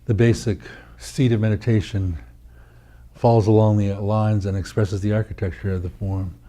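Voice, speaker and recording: Very dark, mellow male meditation teacher. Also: lowish voice, little pitch movement. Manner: measured, deliberate, with pauses between short phrases. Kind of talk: guided meditation instruction.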